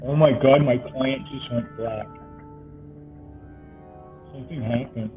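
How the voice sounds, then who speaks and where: A man's voice: medium pitch, steady tone, slow, soft background music. An older man from Canada chatting casually on voice chat while gaming, rambling and hesitant, trailing off mid-thought. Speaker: fellow player on voice chat. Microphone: voice-chat mic. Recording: muffled tinny voice-chat mic.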